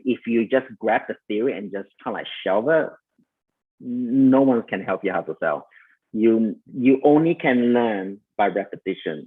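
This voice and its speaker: Malaysian-accented man, sales coach, chatty summit talk, husky voice, phone-thin audio. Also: mid-range voice, phone-quality sound. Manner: conversational, many fillers, addresses listeners as "you guys".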